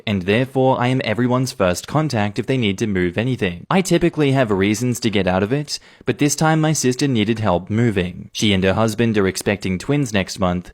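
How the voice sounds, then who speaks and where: A man reading online advice posts aloud in a steady, even read. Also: lowish voice.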